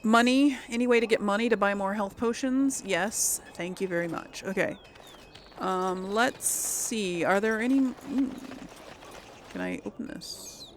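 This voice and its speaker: A woman's voice: mid-range voice, a little slow, slight background noise. A woman giving casual role-play game commentary, hesitant and thinking aloud as she goes. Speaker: gaming video host.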